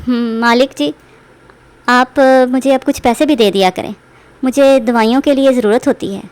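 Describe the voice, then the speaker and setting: A woman plays a poor young village wife in a cartoon tale. Her voice is rather high, and she speaks in a humble, polite, pleading manner. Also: steady tone, normal speed, darkish tone, clean tone, close to an everyday voice. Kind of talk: animated Hindi moral story acting.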